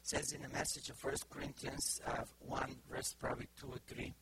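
A middle-aged man from Bulgaria with a Bulgarian accent, giving an informal sermon to a congregation, pausing often with fillers and restarts. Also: medium pitch, little pitch movement, noisy background. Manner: halting, many fillers and restarts. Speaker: preacher.

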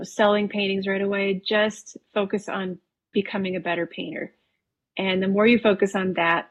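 Guest: thirties woman from Wisconsin, husky voice, relaxed and talkative, lots of filler ums. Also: mid-range voice, muffled recording. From Wisconsin, USA. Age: 30s. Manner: relaxed, chatty, laughs easily, frequent ums. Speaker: painter guest. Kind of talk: casual interview conversation.

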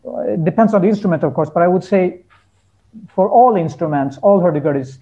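A man's voice: high-pitched, lively intonation, a little fast, mellow, lo-fi sound. A man being interviewed as a musician, talking casually and searching for his words.

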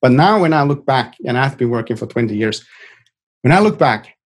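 A middle-aged man from Finland with a Finnish accent, answering informally as an interview guest in a halting, reflective way that often repeats words. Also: mid-range voice, expressive. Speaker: interview guest, experienced tech professional.

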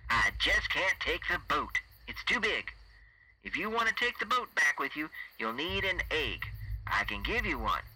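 A man voicing a brash, fast-talking otherworldly thief in an audio story, with a buzzy radio-filtered voice and folksy, slangy patter. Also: a little high, big pitch swings, sharp tone, a little hiss or hum, heavily acted, low-quality recording. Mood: persuasive.

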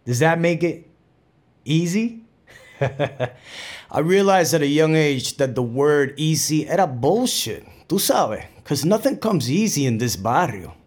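A streetwise Puerto Rican bandleader from El Barrio in an audio play. His voice sits a little high, with a Puerto Rican New York accent. He slips between English and Spanish, playful and reflective as he tells his story. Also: animated, slow. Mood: wry. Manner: Spanglish code-switching, streetwise, conversational storytelling. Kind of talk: dramatic monologue in audio play.

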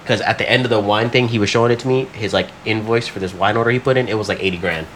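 A man's voice: on the low side, very fast, a bit bright, a little hiss or hum, low-quality recording. A man telling stories in casual podcast banter, slangy and profane, often acting out what people said. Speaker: podcast co-host.

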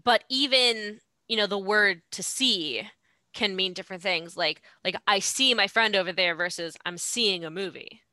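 A woman talks through ideas on a podcast as a guest, pausing with "um"s as she works through her explanation. Her voice is bright and forward. The recording is dry and close, with no room sound. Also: mid-range voice, dry recording. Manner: explanatory, thoughtful, many "um"s. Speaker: podcast guest discussing translation. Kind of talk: casual conversation.

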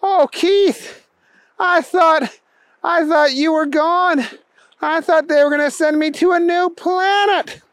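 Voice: very high-pitched, slow, a bit bright, no echo, pitched above the performer's own voice, exaggerated voice. A storyteller voicing a bewildered, anxious man in his thirties in a comic story. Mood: relieved, earnest.

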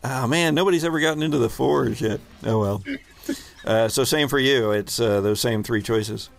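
A man's voice: medium pitch, normal speed, gravelly. A man casually narrating a tabletop roleplaying game as the game master.

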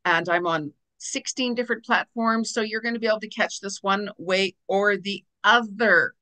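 Bright, enthusiastic woman podcast host. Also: medium pitch, moderate pace, rough recording. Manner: enthusiastic, promotional. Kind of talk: podcast interview intro.

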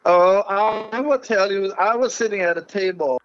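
Older man, missions pastor, chatty guest, self-mocking humor, highish bright voice. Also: normal speed, smooth, no room sound, dull audio. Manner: warm laughing, self-mocking, with a mixed personal way of speaking. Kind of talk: casual interview chat.

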